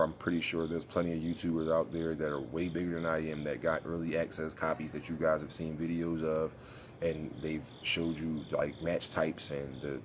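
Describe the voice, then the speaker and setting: Casting: congested young man, very low voice, slangy gaming vlogger, faint background hum. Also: a little mellow. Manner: laid-back, rambling, slangy. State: congested, sniffly. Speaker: gaming YouTuber. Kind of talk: casual vlog commentary.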